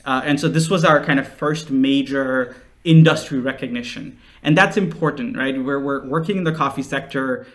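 A young man from Nepal speaks as an interview guest at a middling pitch. He explains things earnestly, in an easy conversational style, as a social entrepreneur would about their work. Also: moderate pace, a bit bright. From Nepal, raised in several countries. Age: late 20s.

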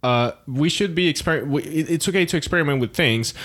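A man's voice: fairly high pitch, a little fast, a bit bright. A man hosting a podcast, reading a short intro and then interviewing his guest conversationally. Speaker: podcast host. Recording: faint music under intro.

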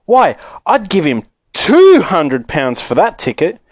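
A man voicing a loud, pushy, insistent woman in a children's audiobook. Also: high voice, wildly swooping pitch, slow, no room sound, studio quality, voice pushed up, full character voice. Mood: indignant, pushy. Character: pushy woman in a crowd, outbidding others.

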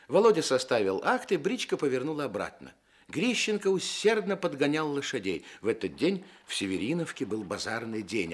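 An actor playing the wry, measured narrator of a radio play, telling a comic adventure tale. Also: male voice, mid-range voice.

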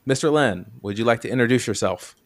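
A man's voice: mid-range voice, fast talker. A man hosting a podcast in casual chat, talking in a rambling, self-deprecating way. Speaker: podcast host.

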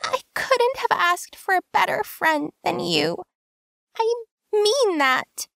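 A tender but firm young woman pleads with a wounded friend, as a woman reading a story puts her on. Her voice sits well above the narration and carries a faint gravelly edge. The sound is close and dry, with no room around it. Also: very high-pitched, lively intonation, unhurried, no room sound, voice pushed up, heavily acted. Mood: stern tender. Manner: pleading and soothing, then stern.

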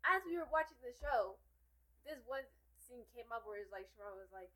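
A woman hosts a relaxed podcast, spinning a story with lively, teasing energy. Her voice is bright and clear and sits slightly high. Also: a little fast, poor audio. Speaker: podcast host. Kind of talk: casual podcast chat.

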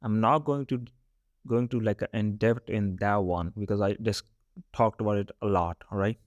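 A young man with a Punjabi accent teaches in a casual, chatty way, often checking in with the listener. His voice sits a little on the low side. The sound is dry and close, with almost no room sound. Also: fairly even intonation, normal speed, no echo, dull audio. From Punjab, India. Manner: chatty, often adds "right?" and "all right?". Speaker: podcast host teaching Punjabi. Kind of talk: casual spoken lesson.

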